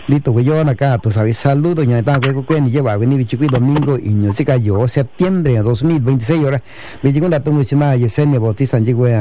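Male radio host with a dark, mellow voice, easy repetitive greetings, on a radio broadcast. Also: medium pitch, brisk, slight background noise. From Mixteca region of Oaxaca, Mexico. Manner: warm repetitive greetings, speaks in Mixtec too. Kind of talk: bilingual community radio greetings.

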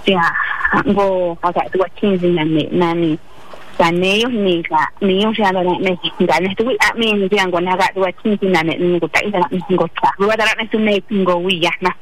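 A woman's voice: lowish voice, fairly even intonation, unhurried, noisy background. A woman radio announcer from Oaxaca whose first language is Triqui, talking casually over a radio link with many fillers. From Oaxaca, Mexico.

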